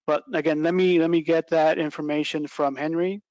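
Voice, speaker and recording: A man's voice: on the high side, flat delivery, a little fast, a little mellow, no echo, muffled recording. A middle-aged man giving an informal product presentation on a video call, explaining step by step and checking in with "right" and "okay". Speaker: product trainer presenting a webinar. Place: small plain indoor room, video call.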